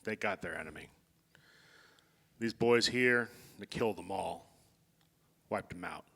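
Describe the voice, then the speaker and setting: Man acting a grave army general, bright forceful voice. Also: on the low side, measured pace, vocal fry, full character voice. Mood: grave, bitter. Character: grave, weary army general haunted by soldiers' deaths. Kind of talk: live staged play reading. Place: theatre stage before an audience. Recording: live theatre stage, long hall reverb.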